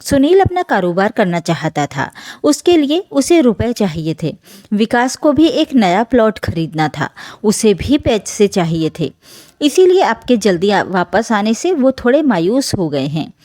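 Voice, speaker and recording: A woman voicing a gentle, patient elderly wife and mother in a soothing, placating manner for a family story. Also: medium pitch, big pitch swings, a little slow, no room sound. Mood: apologetic, soothing.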